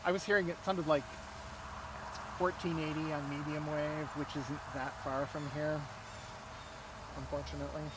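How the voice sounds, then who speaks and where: A man's voice: a little high, level tone, normal speed, long pauses, background noise. A man talking casually and technically outdoors, as a radio hobby expert helping a friend.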